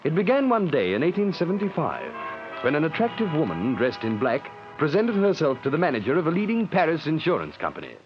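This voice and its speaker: A man's voice: a little high, wildly swooping pitch, a little fast. Sweeping dramatic radio narrator, a man. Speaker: program narrator. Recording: muffled vintage radio with music bed.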